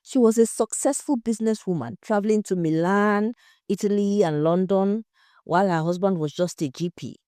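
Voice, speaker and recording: A woman's voice: medium pitch, normal speed, mellow, no room sound, studio quality, pitched above the performer's own voice, natural voice. A woman playing a tubby, confident male household cook who gossips frankly in an audio story. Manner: assured, gossiping.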